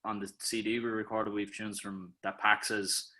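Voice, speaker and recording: A man's voice: on the low side, moderate pace. A man with an Irish accent, a musician talking loosely on a video call about his band's music. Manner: relaxed, rambling. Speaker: band member. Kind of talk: casual interview chat.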